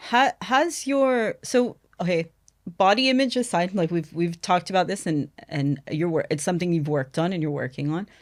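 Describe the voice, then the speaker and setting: A woman from America with an American accent, hosting a podcast interview with lively, chatty questions. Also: lowish voice, normal speed. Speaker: podcast host. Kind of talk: conversational interview.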